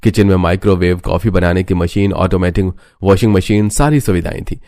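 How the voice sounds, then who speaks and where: A man's voice: deep voice, a little fast, gravelly, warm tone, dry recording. A man reading a scripted family story as narrator. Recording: music bed under the voice.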